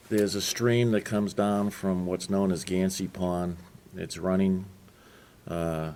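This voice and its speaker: A man with a Boston accent answering as a candidate at a town forum, halting, with restarts. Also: deep voice, a little mellow, slight background noise.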